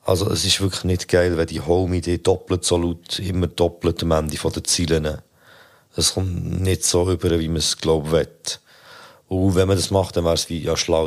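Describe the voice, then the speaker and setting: A man with a Swiss German accent hosting a podcast, reviewing rap performances in a relaxed, critical way with many fillers. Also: deep voice. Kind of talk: casual spoken review.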